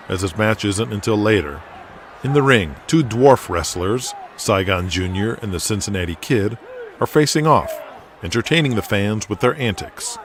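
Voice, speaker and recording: A man's voice: lowish voice, slight background noise. A man reading scripted narration for an audio drama. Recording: music bed behind voice. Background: music.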